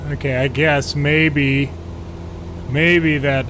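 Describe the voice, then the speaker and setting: A man's voice: a little high, extremely slow. An American man giving casual, sardonic game commentary with exasperated asides. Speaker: gaming video host, pilot and former air traffic controller. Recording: steady background hum and noise.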